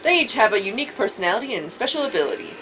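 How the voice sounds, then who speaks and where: A woman talks quickly over clearly audible game music, her pitch moving up and down a lot. She slips between reading visual novel dialogue and casual banter, with a teasing, sarcastic edge. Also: wildly swooping pitch, poor audio. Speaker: let's play host.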